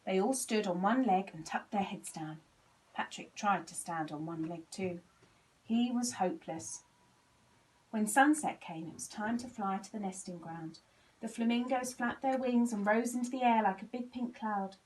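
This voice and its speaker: A woman reading a children's storybook aloud in an expressive, animated way, acting out the dialogue. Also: mid-range voice, moderate pace, recorded from a distance, rough recording. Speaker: volunteer story reader.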